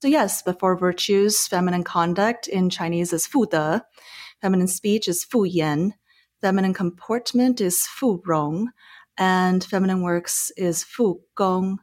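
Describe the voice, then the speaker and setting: A woman's voice: on the low side, measured pace, a little mellow. A woman from Taiwan with a Taiwanese accent, a filmmaker guest on a podcast, explaining thoughtfully and sometimes restarting mid-phrase. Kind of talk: podcast discussion.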